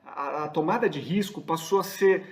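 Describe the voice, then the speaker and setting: A man in his fifties from São Paulo gives a reflective testimonial. He pads his speech with small fillers. His voice sits slightly high for a man. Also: normal speed. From São Paulo, Brazil. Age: 50s. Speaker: student giving testimonial.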